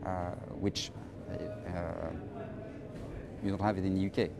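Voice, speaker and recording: A middle-aged man from France with a French accent, answering interview questions in an emphatic, halting way and often repeating phrases. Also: low voice, flat delivery, vocal fry, noisy background. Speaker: interviewee, finance professional.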